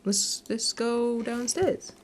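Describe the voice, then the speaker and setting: A woman's voice: a little high, takes it slowly, slight background noise. Woman reading a horror game aloud. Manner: sweary, unscripted asides. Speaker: gaming video host. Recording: faint music behind the voice. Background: game music.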